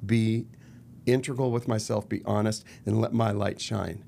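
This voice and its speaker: Middle-aged male guest, gravelly, lively studio podcast chat, close desk mic. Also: lowish voice, moderate pace, a little hiss or hum. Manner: animated, gestures a lot while talking. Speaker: guest, murder mystery company founder. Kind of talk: casual podcast interview. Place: purple-lit podcast studio. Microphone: desk mic on boom arm.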